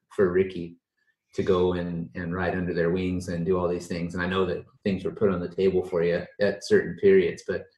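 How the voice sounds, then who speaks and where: Man, podcast host, rambling, laid-back slang, very low voice, roomy echo. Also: a little mellow. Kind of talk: casual interview chat.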